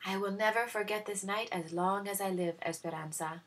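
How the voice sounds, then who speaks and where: A woman voicing a sweet, shy and sincere young kitchen maid in a storybook, gentle and polite. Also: medium pitch, fairly even intonation, normal speed, pitched above the performer's own voice, natural voice. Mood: grateful.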